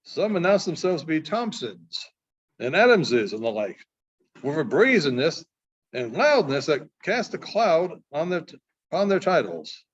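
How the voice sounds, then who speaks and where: A man with a notably high voice reads a story aloud in a halting way, often stumbling and going back over phrases. The recording is dull and muffled. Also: sing-song, breathy edge. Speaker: librarian reading aloud. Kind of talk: reading a short story aloud.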